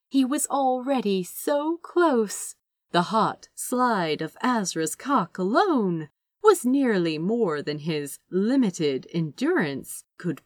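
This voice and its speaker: A woman reading romantic fiction aloud as an expressive, dramatic audiobook narrator. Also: medium pitch, no echo, studio quality. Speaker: audiobook reader.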